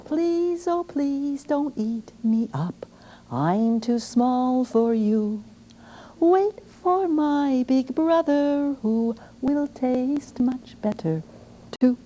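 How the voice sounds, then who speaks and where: A woman voicing a nervous, middle-sized male billy goat who pleads in a hushed, breathy voice. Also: sits high, lively intonation, drawn-out words, voice pushed up, exaggerated voice. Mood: pleading.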